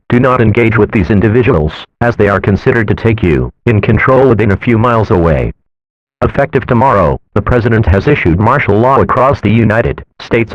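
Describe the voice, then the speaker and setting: A man's voice: on the low side, no echo. A man reading a scripted emergency alert announcement in a formal, official manner. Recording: muffled lo-fi audio, loud noise.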